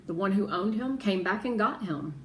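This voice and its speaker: A woman voicing a cautious, stubborn father in a children's novel, gentle but firm. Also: mid-range voice, animated, normal speed, lightly acted. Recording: roomy echo with background noise.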